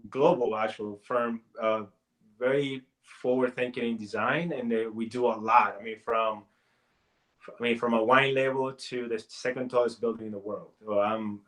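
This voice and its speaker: A man's voice: mid-range voice, poor audio. A man in his forties from Puerto Rico, a native Spanish speaker, talking as a guest in a casual interview with many restarts and fillers. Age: 40s. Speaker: guest artist.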